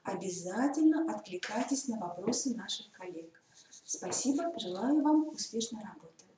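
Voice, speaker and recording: A woman's voice: medium pitch, expressive, normal speed. Woman moderator in an echoey room. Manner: formal, polite address to colleagues. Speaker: seminar moderator. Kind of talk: formal introduction of speakers. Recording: echoey room, mic far off, faint noise.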